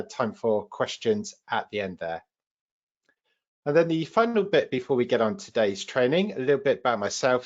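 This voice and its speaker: A man with a British accent hosts a live online training session, explaining things in an informal, practical way as the trainer. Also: mid-range voice. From United Kingdom. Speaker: webinar host and trainer. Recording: slightly dull webinar audio.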